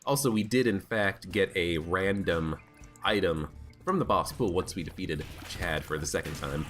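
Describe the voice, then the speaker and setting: A man's voice: low-pitched, normal speed, a bit bright. Stuffed-up man chatting over game music. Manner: chatty, thinking aloud while playing. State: stuffed up and hoarse from allergies. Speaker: gaming channel host. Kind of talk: casual let's play commentary.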